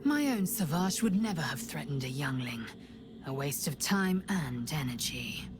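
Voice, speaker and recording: Haughty low-voiced alien warrior woman. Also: sing-song, moderate pace. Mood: scornful. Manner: haughty, formal, clipped declarations. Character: proud, disdainful alien warrior woman, ruthless and militant. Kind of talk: video game character acting.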